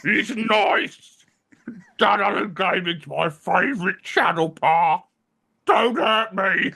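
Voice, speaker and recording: Casting: a timid, fannish video game fellow, creaky, raspy and high. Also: male voice, slow pace, bright, forceful tone, exaggerated voice. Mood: eager, pleading. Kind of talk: video game character acting.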